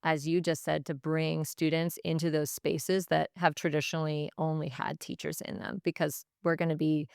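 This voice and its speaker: A woman's voice: deep voice, little pitch movement, normal speed, vocal fry, few pauses, darkish tone, clear, resonant tone, no room sound, clean studio sound. A woman speaking as a teacher being interviewed, giving reflective answers in thoughtful, stop-and-start phrasing that repeats itself. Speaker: teacher and language department head, podcast guest.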